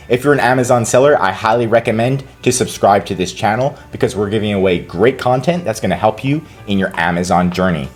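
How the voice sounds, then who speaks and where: A man hosting a tutorial and giving casual step-by-step instructions as a consultant. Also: lowish voice. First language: English. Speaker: consultant and channel host. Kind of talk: screen-recorded tutorial walkthrough.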